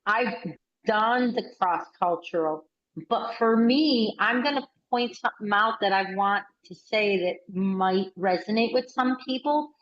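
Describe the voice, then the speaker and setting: Chatty woman in her sixties. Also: mid-range voice. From United States. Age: 60s. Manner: chatty, animated storyteller, quick bursts. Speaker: workshop participant. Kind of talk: casual video call chat. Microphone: video call. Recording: roomy, slightly muffled call audio.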